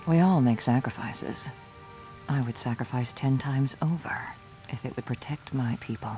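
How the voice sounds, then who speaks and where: An earnest sorceress in a fantasy video game. She speaks with solemn, measured resolve while quiet music plays underneath. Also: extremely low pitch, poor audio. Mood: solemn, resolute. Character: earnest, resolute female human necromancer.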